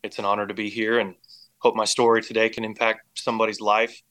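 A man's voice: fairly low pitch, little pitch movement, fast, fairly bright tone. An American man giving personal testimony as an interview guest, speaking in an earnest, reflective way. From Indiana and Oklahoma, United States. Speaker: podcast guest.

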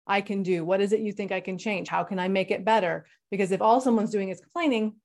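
A woman's voice: medium pitch, fast, a little mellow. A woman moderating a discussion panel, speaking bluntly and frankly.

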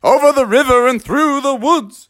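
A man voicing a flustered father bear who tries to keep everyone calm, exclaiming in a storybook style. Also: very high voice, moderate pace, voice pushed up. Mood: falsely calm. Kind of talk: animated storybook character voice.

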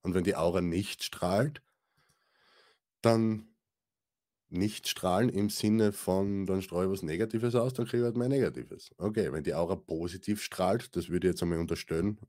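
A man's voice: low voice, a little mellow. A man co-hosting a spiritual podcast, rambling in colloquial talk with many restarts. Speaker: podcast co-host. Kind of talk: casual spiritual chat.

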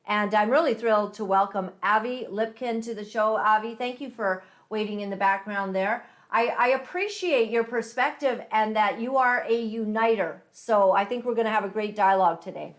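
A woman's voice: medium pitch, normal speed, few pauses. A woman hosting an interview, talking in a chatty, enthusiastic way and laughing often. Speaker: podcast host. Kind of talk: interview chat.

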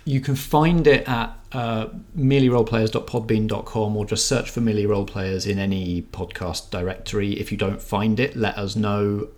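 A man's voice: fairly low pitch, steady tone, moderate pace, hardly any pauses, darkish tone. A man hosting a podcast and running a role-playing game, talking casually in hesitant, stop-start phrases. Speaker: podcast host and game master. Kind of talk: casual podcast chat and improvised game narration.

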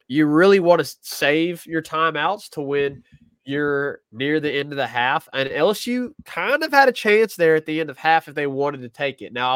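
A man's voice: on the high side, fairly bright tone, clean tone, dry recording. A man with a stuffy nose from a cold, co-hosting a casual sports talk show and thinking aloud with lots of restarts. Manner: rambling, self-correcting, thinking aloud. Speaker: podcast co-host.

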